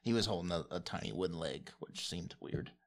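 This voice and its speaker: A man's voice: deep voice, a little fast, a crowd in the background. A man running a tabletop game with casual, improvised narration and chat. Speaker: game master.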